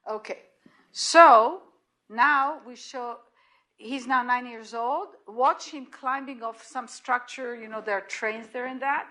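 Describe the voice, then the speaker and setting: Israeli woman lecturer, high and bright voice, emphatic, repeats key points. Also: takes it slowly, a little hiss or hum, rough recording. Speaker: lecturer presenting to clinicians. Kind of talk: lecture narrating patient videos.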